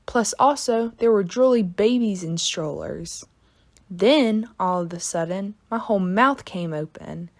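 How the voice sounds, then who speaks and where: A woman narrating a children's book aloud in an expressive, dramatic storytelling style. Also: mid-range voice, a little slow.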